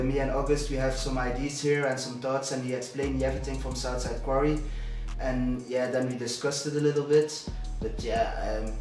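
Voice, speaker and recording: A man's voice: mid-range voice, a little mellow. Hesitant Belgian French-accented man, rapper in an interview, echoey room. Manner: hesitant, many restarts. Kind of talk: casual interview answers. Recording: echoey room, distant mic, music behind.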